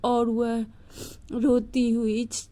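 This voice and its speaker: High-voiced, unhurried woman storyteller. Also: monotone, mellow. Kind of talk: narrating a children's moral story.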